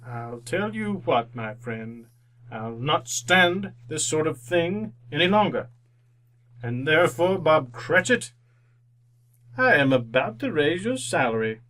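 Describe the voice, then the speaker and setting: A man playing a reformed old miser, giddy and generous, in a theatrical old-time radio drama style that swings from hushed to booming. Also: sing-song, takes it slowly, full character voice, poor audio. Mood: mock stern.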